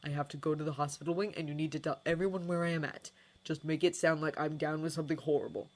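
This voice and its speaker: A woman's voice: low voice, fairly even intonation, quick pace. A woman voicing a teenage boy wizard who talks urgently and earnestly.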